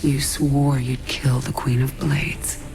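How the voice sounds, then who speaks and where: Intense, breathy swarm queen. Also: rumbling low, little pitch movement, slow pace, over music, exaggerated voice, low-quality recording. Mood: anguished, tender. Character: powerful alien-swarm queen, intense, anguished, commanding. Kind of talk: video game cinematic acting.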